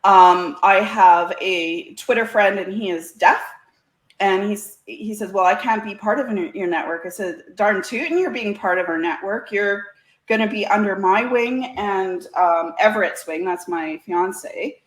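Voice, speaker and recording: A woman's voice: mid-range voice, unhurried. Woman chatting in an echoey room. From Canada. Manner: chatty, folksy, laughs often. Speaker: interview guest. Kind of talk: casual chat. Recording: roomy, echoey sound.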